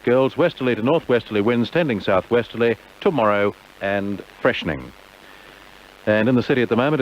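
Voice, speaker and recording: An Australian man presenting on radio in a measured announcer's delivery, reading information and news. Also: fairly low pitch. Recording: dull, noisy old AM radio tape.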